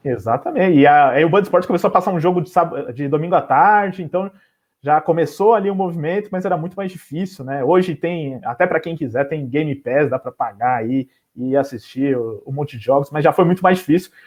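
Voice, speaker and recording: A man's voice: a little high, fairly quick. A man hosting a casual interview, friendly and joking back and forth with his guest. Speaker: podcast host. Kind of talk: casual chat.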